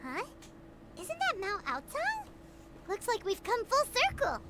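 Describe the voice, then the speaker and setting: Super squeaky lil game mascot girl, excitable, really swingy pitch, noisy background. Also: a little slow, full character voice. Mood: curious, excited. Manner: excitable, refers to self in third person. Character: small, childlike flying companion girl, chirpy and impatient. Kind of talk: video game voice acting.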